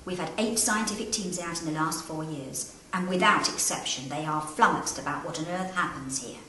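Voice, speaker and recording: A woman guides visitors through history with a formal, dramatic delivery. Her voice is on the low side and very echoey, picked up from a little distance with faint noise around it. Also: moderate pace, pushed and bright. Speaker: castle guide and historical interpreter. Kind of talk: storytelling to camera. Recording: echoey great hall, distant mic, background noise.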